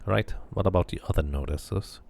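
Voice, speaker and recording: A man's voice: deep voice, moderate pace, creaky, darkish tone. A man reading game text aloud and commenting casually as he plays a role-playing game.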